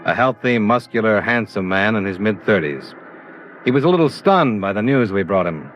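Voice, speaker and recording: Low-voiced, matter-of-fact American radio detective, acted by a man. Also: animated, normal speed, lightly acted. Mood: businesslike. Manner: clipped, matter-of-fact, dry questioning. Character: cool, matter-of-fact male insurance investigator narrating his case. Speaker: lead actor and narrator. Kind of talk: 1950s American radio detective drama acting. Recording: muffled old radio recording, organ music.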